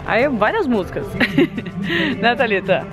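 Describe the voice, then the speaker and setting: Lively young woman chatting to camera, kinda high voice, loud music going behind her. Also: a little slow. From Brazil. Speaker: vlogger. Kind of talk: casual vlog chat to camera. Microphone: handheld camera at arm's length. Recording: music playing in the background. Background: loud party music nearby.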